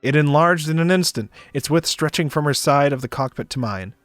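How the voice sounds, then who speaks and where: A man's voice: on the high side, lively intonation, a little fast, dry recording, soft background music. A man narrating a visual novel in the first person on a stream, with casual asides.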